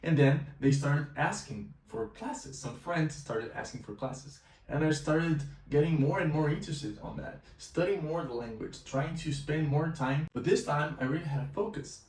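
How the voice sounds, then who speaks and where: A man's voice: fairly high pitch, expressive, normal speed, hardly any pauses, darkish tone. A young man from Brazil, a native Portuguese speaker with a Brazilian accent, casually telling his personal story as a language teacher in a vlog. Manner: casual, conversational, with filler phrases. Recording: roomy echo, mic at a distance.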